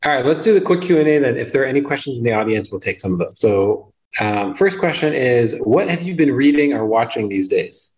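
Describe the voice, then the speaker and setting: A man's voice: medium pitch, animated, fairly quick, hardly any pauses, a little mellow, low-quality recording. A man with a Lebanese accent hosting a casual interview, friendly, with short affirmations as he steers the questions. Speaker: interview host.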